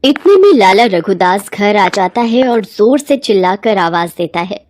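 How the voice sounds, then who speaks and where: A woman's voice: medium pitch, lively intonation, measured pace, a little mellow, dry recording. A woman narrating a scripted moral bedtime story as its storyteller.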